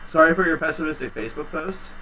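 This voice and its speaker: A man in his thirties hosting a home livestream, chatting casually with viewers in a rambling, low-key, tired way. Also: fast, bright, forceful tone, far from the mic, slight background noise, low-quality recording. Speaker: livestream host reading chat. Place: small home room indoors. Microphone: headset.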